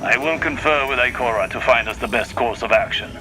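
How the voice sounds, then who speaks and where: A man's voice: mid-range voice, lightly acted, poor audio. Proud, scholarly advisor played by a man. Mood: probing, intent. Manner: deliberate, formal. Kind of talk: video game cutscene dialogue. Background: music.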